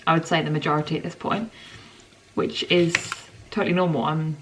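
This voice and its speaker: A woman's voice: low-pitched, steady tone, fairly quick, background noise. A woman in her twenties chatting casually and rambling to camera in her home kitchen while cooking dinner, filling her talk with "like". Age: 20s. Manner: rambling and chatty, lots of "like" fillers. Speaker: vlogger. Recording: camera mic from a distance, sizzling pan. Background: frying food sizzling.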